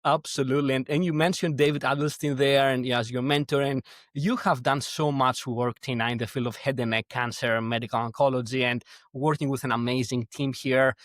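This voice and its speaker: A man's voice: mid-range voice, fairly even intonation, a little fast, no room sound, clean studio sound. A man with a Greek accent hosting a conversational medical podcast interview in an effusive, complimentary manner. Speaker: podcast host, oncology professor.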